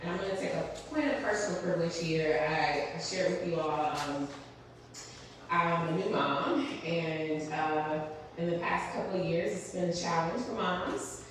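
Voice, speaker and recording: A woman's voice: lowish voice, moderate pace. A woman moderating a public panel discussion, speaking earnestly with frequent fillers. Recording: echoey room, mic from a distance, background noise.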